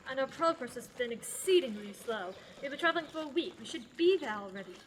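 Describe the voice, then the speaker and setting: A woman's voice: high voice, lively intonation, quick pace, a little hiss or hum. A young woman playing a dry, condescendingly affectionate older female seer in an amateur audio drama. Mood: impatient. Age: young adult.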